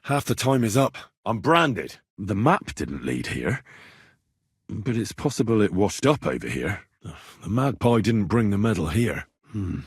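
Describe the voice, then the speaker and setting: A man playing a young, earnest, wry medieval adventurer in a video game, slightly husky, muttering his thoughts and quick to curse. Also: on the low side, very animated, no room sound, clean studio sound, exaggerated voice. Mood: musing, curious. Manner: muttering thoughts to himself, bursts into shouts. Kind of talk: acted video game voice lines.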